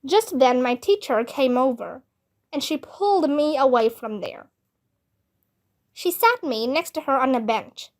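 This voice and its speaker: High-pitched woman reading a storybook slowly. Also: expressive. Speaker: read-aloud narrator of a children's book. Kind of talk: scripted storybook narration in a child's first-person voice.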